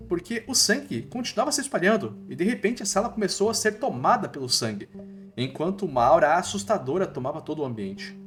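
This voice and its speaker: Animated male Brazilian storyteller over music. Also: fairly high pitch. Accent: Brazilian Portuguese. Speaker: recap channel narrator. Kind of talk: scripted story recap narration.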